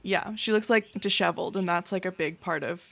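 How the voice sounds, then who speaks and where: A woman's voice: fairly low pitch, fairly quick, creaky, a bit bright. A woman podcast co-host chatting casually about a TV episode in an informal, blunt, slangy way. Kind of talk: casual TV recap chat.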